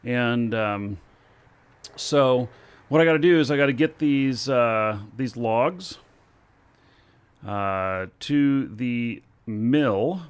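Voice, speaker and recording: A man streaming a video game, talking slowly to himself with many fillers as he works out what to do next. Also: medium pitch, clean tone. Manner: slow, thinking aloud with many fillers. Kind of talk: casual gameplay commentary.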